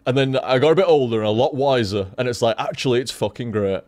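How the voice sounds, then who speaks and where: A man's voice: medium pitch, fast, darkish tone, no echo. Swearing Yorkshire man chatting to stream. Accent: Yorkshire, softened. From Leeds, Yorkshire, England. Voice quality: swears freely, laughs often, repeats himself. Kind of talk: casual rambling stream chat.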